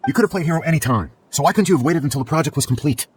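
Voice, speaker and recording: A man's voice: a little high, animated, rapid-fire, slight background noise, natural voice. A man playing a callous young male student in a video game, defensive and blaming. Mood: reproachful.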